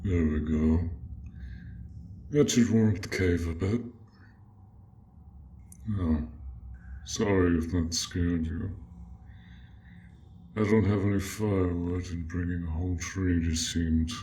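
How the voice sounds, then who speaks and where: A proud, courteous dragon played by a man speaks very low and unhurried, in formal, courtly phrases. He is an awkwardly smitten host in an audio roleplay, talking straight to the listener over clear background noise. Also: steady tone, warm tone. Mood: hospitable, courteous.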